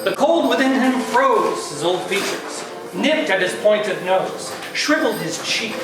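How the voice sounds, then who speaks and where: A man's voice: high-pitched, lively intonation. A man acting as the theatrical narrator of a comic Christmas tale in an amateur read, stressing words dramatically. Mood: dramatic, relishing. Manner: dramatic, drawn-out emphasis. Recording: cavernous echo, distant, music behind.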